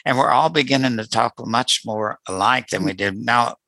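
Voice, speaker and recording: An older man in a book club chat, musing in a halting way. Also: mid-range voice, sharp tone, no room sound. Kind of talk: casual group chat.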